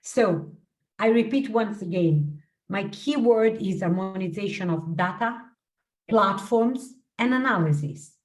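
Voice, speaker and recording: A woman's voice: medium pitch, animated, a little slow. A woman with an Italian accent, a non-native English speaker, co-chairing an online meeting, careful and searching for words. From Italy. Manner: careful, searching for words, repeats key points. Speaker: working group co-chair. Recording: distant from the mic.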